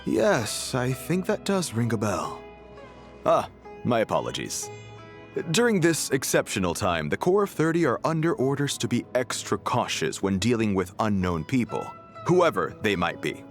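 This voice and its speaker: A man playing an affable but wary desert guard with a sly streak in a video game, expressive and probing. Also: medium pitch, normal speed, close to an everyday voice. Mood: cautious, official. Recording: music under the voice.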